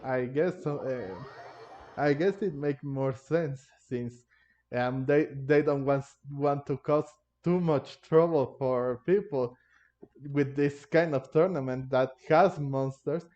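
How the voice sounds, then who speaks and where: Man, casual gaming commentary, slow hesitant delivery, thinking aloud, slightly dull recording, faint music. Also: mid-range voice, a little mellow. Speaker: gaming content creator. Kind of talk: casual game playthrough commentary.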